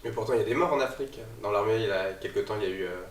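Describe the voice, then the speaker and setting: Un homme qui mène l'entretien et pose des questions courtes, sur un ton familier et rapide. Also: male voice, fairly low pitch. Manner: quick, informal questions. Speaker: interviewer. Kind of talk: casual interview questions. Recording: roomy, distant from mic.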